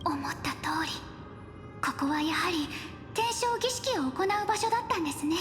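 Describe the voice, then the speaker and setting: Very high, cute eager girl. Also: lively intonation, fast, fairly bright tone. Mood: curious, thoughtful. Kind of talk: Japanese anime-style video game voice acting. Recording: echoing game audio under music.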